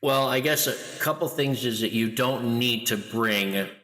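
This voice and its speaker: A middle-aged man talking loudly and with lively energy as a guest in an interview. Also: mid-range voice, measured pace, long reverb tail. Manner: loud, animated storyteller. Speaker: guest, international school educator. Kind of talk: conversational interview.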